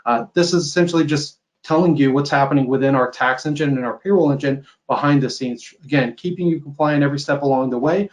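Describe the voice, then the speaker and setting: A man's voice: a little high, quick pace, hardly any pauses. A man gives an informal webinar product demo over a video call, explaining things conversationally and often checking in with a tag question. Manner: explanatory, conversational, tags statements with "right?". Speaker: software company sales executive presenting a webinar.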